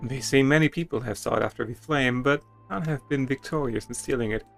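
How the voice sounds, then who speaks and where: A man's voice: mid-range voice, brisk, vocal fry. A man streaming a dramatic, theatrical reading of game text, with casual asides to viewers. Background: music.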